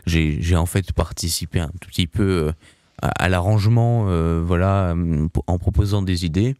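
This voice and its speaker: A man's voice: low voice, normal speed, darkish tone, smooth, no echo. A young man, a singer, answering in a casual radio interview, hesitant with many restarts and fillers. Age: young adult.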